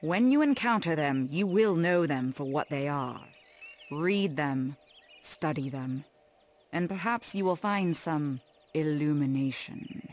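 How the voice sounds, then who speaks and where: A man's voice: fairly high pitch, lively intonation, takes it slowly. A man voicing a grave, mysterious sage in a video game, speaking formally and portentously. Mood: grave, advising. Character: grave, mysterious male sage warning a young wizard. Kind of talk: video game character acting.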